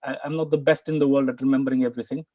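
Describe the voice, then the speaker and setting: A man's voice: mid-range voice, fairly even intonation, racing, darkish tone, no room sound. A middle-aged man teaching Arabic on a video call from a room at home, earnest and coaxing, often restarting his words. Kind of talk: live online class talk.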